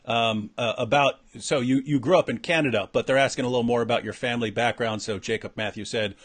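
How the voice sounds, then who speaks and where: A man hosting an interview, asking questions in relaxed casual talk with many fillers. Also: medium pitch, fast talker. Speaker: interview host.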